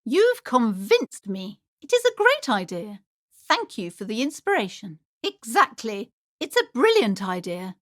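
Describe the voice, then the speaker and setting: High, theatrical, fretful woman shopkeeper. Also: huge pitch swings, measured pace, dry recording, studio quality, exaggerated voice. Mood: gushing, inspired. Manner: theatrical, fretful, swinging between gushing and alarm. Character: anxious, superstitious, preachy woman bookshop owner. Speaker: voice actor in a video game. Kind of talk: dramatic video game character dialogue.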